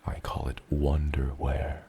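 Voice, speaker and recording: Guy doing a super deep slow dragon dad, soft asmr murmur going whispery, tender n proud, noisy watery rumble behind. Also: monotone, exaggerated voice. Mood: reflective, wise. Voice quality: very deep, gravelly. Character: gentle old father dragon, proud and doting. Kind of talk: ASMR roleplay, addressing the listener as his child.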